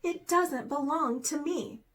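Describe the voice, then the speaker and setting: Woman voicing an emphatic, high-pitched wronged raven. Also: fairly bright tone. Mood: defensive protest. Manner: emphatic, drawn-out protesting. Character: emotional, wrongly accused female raven in a storybook.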